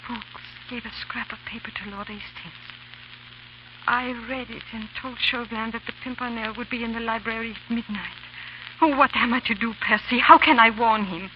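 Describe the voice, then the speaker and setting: An actress playing a proud, impassioned young wife of an English lord in 1930s radio drama, pleading and declaiming. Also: female voice, a little high, steady tone, normal speed, lightly acted. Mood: remorseful. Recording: dull, hissy, crackling 1930s radio recording.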